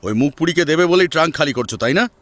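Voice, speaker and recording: A man's voice: a little high, lively intonation, fast talker, fairly bright tone, dry recording, pitched above the performer's own voice. A man playing a domineering, grudging eldest brother in an audio story, curt and scolding. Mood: annoyed, scolding. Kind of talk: Bengali audio story acting.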